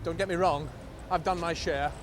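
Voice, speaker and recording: Male performance poet, high voice, theatrical and deliberate in rhymed verse, clear noise behind him. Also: steady tone. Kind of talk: reciting rhyming poetry.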